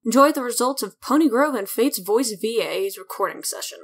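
Higher-voiced woman giving an update. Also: expressive, fairly quick, noisy background. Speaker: series producer giving an update. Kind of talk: casual scripted update narration.

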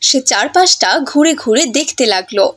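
A woman's voice: sits high, sing-song, moderate pace, a bit bright. A woman narrating a scripted fairy tale as a storyteller.

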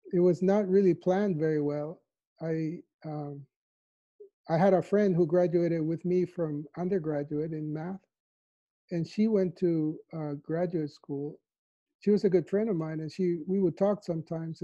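A man in his fifties from El Salvador, answering interview questions thoughtfully from his living room on a video call, with a lapel mic. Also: on the high side. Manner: soft-spoken, thoughtful, with frequent fillers. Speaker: mathematician interviewee. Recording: dull lo-fi video call audio.

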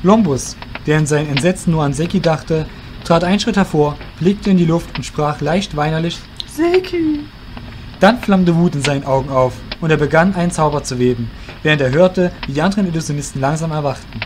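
A man's voice: fairly high pitch, fairly quick, a little mellow, slight background noise. Male narrator of a fantasy story. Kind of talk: scripted fantasy audio drama narration.

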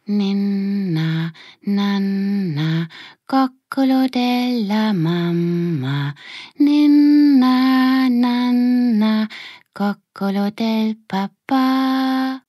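A woman voicing a gentle young girl in a children's audiobook, soft and tender. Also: fairly high pitch, fairly even intonation, extremely slow, no room sound, clean studio sound, pitched above the performer's own voice. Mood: tender singing. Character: gentle young girl, sharing grandmother's lullaby.

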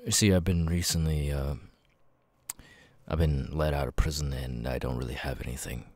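Man acting a very deep, halting, weary young drifter. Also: steady tone, a little mellow, no room sound. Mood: subdued, grateful. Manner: halting, stammering, with abrupt repeated-word tics. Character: weary, homeless young male ex-convict with vocal tics. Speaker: voice actor. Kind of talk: ASMR audio roleplay. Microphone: close microphone, dry.

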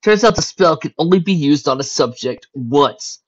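A woman's voice: low-pitched, lively intonation, slightly rough, voice pushed up. A woman voicing an earnest young male dragon who explains things carefully in a story reading. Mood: grave, explaining. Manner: slow, explanatory. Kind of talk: fan fiction story reading. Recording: dull lo-fi recording.

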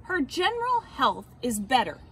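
Woman putting on pompous, emphatic gentlemen of a storybook. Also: high-pitched, wildly swooping pitch, moderate pace, a little hiss or hum, heavily acted. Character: stuffy, disapproving male doctors and clubmen pronouncing judgement.

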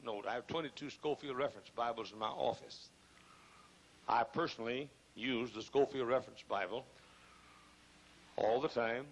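An older man, hoarse and gravelly, preaching an emphatic sermon at a pulpit microphone in a large church, repeating phrases and rising into loud bursts. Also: mid-range voice, a little hiss or hum, low-quality recording. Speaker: pastor. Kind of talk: fiery sermon. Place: large church auditorium, choir behind.

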